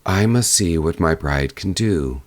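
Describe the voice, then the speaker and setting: A man's voice: low-pitched, lively intonation, measured pace, no room sound. A man voicing an earnest, resolute young prince in a storybook reading, declarative and commanding.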